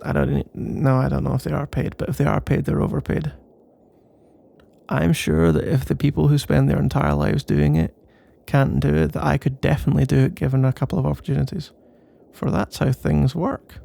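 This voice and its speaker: Man, very gravelly fry, mellow tone, playful mock-boastful rambling, ASMR boyfriend roleplay. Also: mid-range voice, animated, moderate pace.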